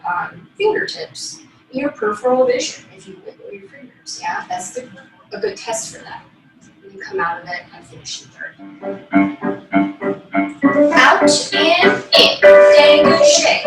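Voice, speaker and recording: High-pitched woman teaching in an echoey room. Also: fairly bright tone. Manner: encouraging, counting and cueing movements. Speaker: ballet teacher. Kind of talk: instructional dance class, calling out steps. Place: bedroom at home. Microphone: camera across the room. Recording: echoey room, distant mic, piano music. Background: piano music.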